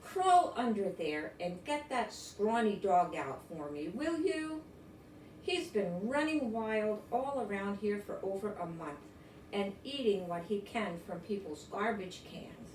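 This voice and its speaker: A woman's voice: mid-range voice, lively intonation, a little slow, a little mellow, natural voice. A woman reading a storybook, playing a grumbling, dismissive old man who complains in an emphatic, folksy way. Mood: grumbling complaint. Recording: roomy, distant mic, faint noise.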